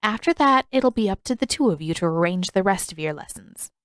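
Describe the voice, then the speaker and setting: A brisk, practical healer, read by a woman narrator, talks in a businesslike way. She is a grown woman in an audio storybook, with a dry, close sound. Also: medium pitch, expressive, fairly bright tone, no echo, close to an everyday voice.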